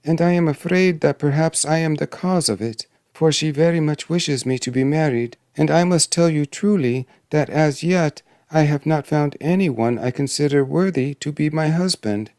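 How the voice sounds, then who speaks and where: Vain young fairy tale princess, acted by a male reader: high, plaintive, proud delivery, dry close sound. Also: moderate pace, few pauses, darkish tone, clear, resonant tone, no echo, lightly acted. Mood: sorrowful. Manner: plaintive, haughty. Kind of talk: fairy tale storybook reading.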